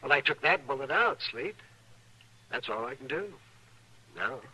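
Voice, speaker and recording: An American actor playing a fussy, kindly but blunt frontier doctor in a radio western, soothing and grumbling by turns. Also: male voice, medium pitch, animated, a little slow, sharp tone, slightly rough, exaggerated voice, poor audio. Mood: soothing, guarded. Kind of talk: 1950s radio western acting. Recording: old radio recording with faint noise.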